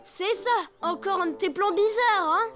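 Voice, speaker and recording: In a cartoon, a worried young elephant girl who frets in a very high, childlike voice. She sounds anxious and slightly whiny, over a clear music bed. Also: normal speed, a bit bright. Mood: gloomy. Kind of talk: French cartoon dub.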